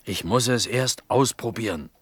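Actor as bewildered, plain-spoken village potter. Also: male voice, lowish voice, normal speed, natural voice. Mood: wary, reluctant. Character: bewildered, stubborn male village potter, a poor father. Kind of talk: German radio play acting.

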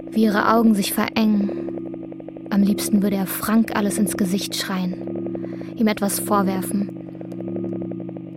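A woman's voice: medium pitch, lightly acted. A woman narrating a literary radio drama, recounting an anxious man's inner thoughts.

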